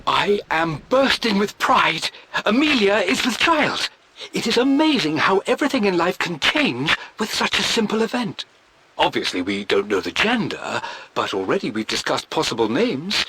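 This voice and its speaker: A man's voice: sits high, sing-song, moderate pace, bright, forceful tone, slight background noise, heavily acted, rough recording. An actor playing a stubborn, devoted aristocratic explorer with a British accent, narrating his diary formally and theatrically in a video game. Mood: proud, reflective.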